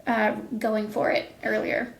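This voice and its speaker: A woman in her thirties answering casually as an interview guest, explaining things in a thoughtful, halting way. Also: mid-range voice, completely flat delivery. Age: 30s. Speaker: guest, voice actor. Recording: echoey, roomy sound.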